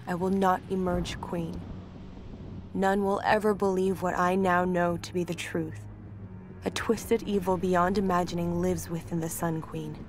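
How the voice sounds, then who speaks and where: Woman acting a solemn, even-toned young priestess. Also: lowish voice, natural voice. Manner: solemn, measured, formal. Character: young ancient priestess, troubled, dutiful and resolute. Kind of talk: dramatic scripted game narration. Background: music.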